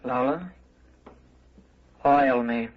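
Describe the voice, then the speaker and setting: An actor playing a childlike, obedient killer robot in a 1950s radio drama, with simple, literal, dutiful speech. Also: male voice, medium pitch, very slow. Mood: childlike, wanting. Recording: muffled, noisy vintage radio recording.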